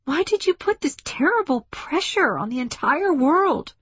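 A narrator, a woman, plays an impassioned female delegate in a science fiction audiobook. She declaims earnestly and emphatically, with a husky edge and a dry, close sound. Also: mid-range voice, measured pace, no echo. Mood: demanding, anguished. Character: intense, impassioned woman delegate awakening to alien insight.

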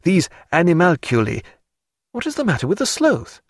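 A man's voice: sits high, wildly swooping pitch, normal speed, no echo, clean studio sound, voice pushed up, exaggerated voice. A man voicing an irascible, scolding naval surgeon and naturalist in an audiobook sea story. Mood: absorbed, then puzzled. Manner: crying out indignantly, scolding.

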